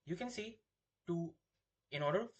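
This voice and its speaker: A man with a slightly husky voice giving a software demo, explaining things step by step as a product demonstrator. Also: sits high, lively intonation, normal speed, lo-fi sound.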